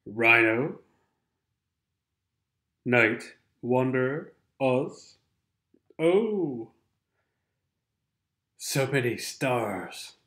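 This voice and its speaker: A man with a blocked-up nose talks over game replays at an unhurried, drawn-out pace. His voice sits at an ordinary pitch, and his commentary rambles in a dry, sardonic way. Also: expressive, bright, forceful tone. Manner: sardonic, rambling, sings snatches of songs. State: stuffed-up nose from a cold. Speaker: gaming commentator.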